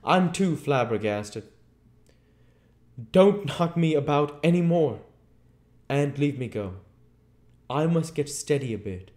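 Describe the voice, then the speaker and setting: A man's voice: fairly high pitch, expressive, takes it slowly, pauses often, darkish tone, voice pushed up. A man voicing a flabbergasted, easily frightened tramp with a blustering, slangy rustic manner, from a storybook. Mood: flustered, aggrieved. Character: flabbergasted, frightened, cringing tramp Mister Marvel.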